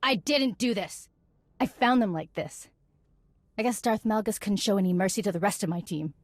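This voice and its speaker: An actress playing a righteous, angry and anguished Jedi in a video game, accusing and emotionally intense. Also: female voice, medium pitch, moderate pace, no echo, clean studio sound, natural voice. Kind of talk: video game cutscene acting.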